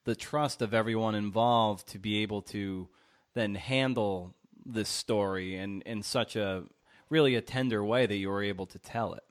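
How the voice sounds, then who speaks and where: A man with a fairly low voice interviews a guest as a podcast host. He talks conversationally, feeling his way through long questions with halting, roundabout phrasing. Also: fairly even intonation.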